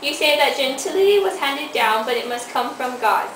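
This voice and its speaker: A woman's voice: sits high, animated, moderate pace, a bit bright. A young woman playing a stern, bossy lady in an amateur student puppet show. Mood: indignant. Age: teenager. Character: queen and old hag wife, bossy, stern. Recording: echoey distant amateur recording with hiss.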